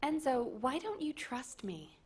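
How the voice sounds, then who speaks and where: An actress playing a confident, determined military strategist in a video game, briefing in an assertive way. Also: female voice, high voice, big pitch swings, unhurried, breathy edge, exaggerated voice.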